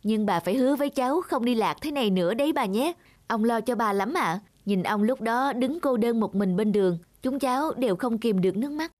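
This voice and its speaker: Woman playing a high-voiced kindly young policeman. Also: animated, fairly quick, a little mellow, lightly acted. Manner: polite, reassuring, earnest. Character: kind, dutiful young male police officer in a cartoon. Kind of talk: cartoon dub acting.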